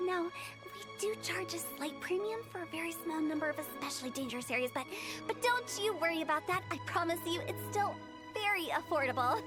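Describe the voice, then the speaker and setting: A woman voicing a mischievous young female funeral director who makes cheerful, macabre sales pitches in a game. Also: lively intonation, brisk, music in the background. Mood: teasing. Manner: teasing, salesy, darkly playful. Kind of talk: video game voice acting.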